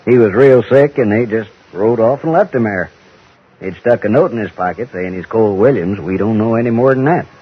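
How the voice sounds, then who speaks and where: An actor playing a blunt, guarded Western sheriff with an American Western accent and folksy, terse speech in an old-time radio drama. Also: male voice, on the low side, brisk, husky. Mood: matter-of-fact, guarded. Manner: terse, drawling rustic speech. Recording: dull, muffled old radio recording.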